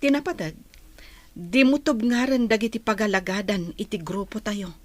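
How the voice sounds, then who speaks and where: A woman playing a steady, reassuring but insistent comrade in a secretive group, in an Ilocano radio drama. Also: medium pitch, moderate pace, dry recording, natural voice.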